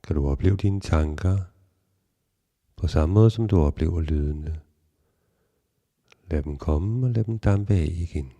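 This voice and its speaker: A man guiding a relaxation meditation in a calm, measured, instructional way with long pauses. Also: low-pitched. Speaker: yoga nidra guide.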